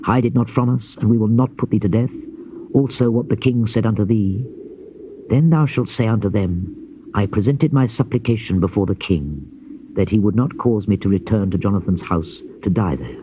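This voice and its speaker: A man giving a solemn, measured, theatrical scripted narration of scripture in a declamatory manner. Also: lowish voice, warm tone. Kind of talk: dramatized Bible reading, theatrical scripted narration. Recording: dull lo-fi cassette with noise.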